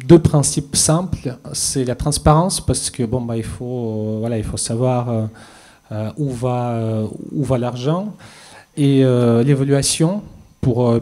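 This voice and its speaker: A man's voice: medium pitch, warm tone. A man in his thirties, a policy expert on a conference panel, giving a prepared statement with many fillers and restarts into a handheld mic. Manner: measured, hesitant with many fillers. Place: conference stage with audience.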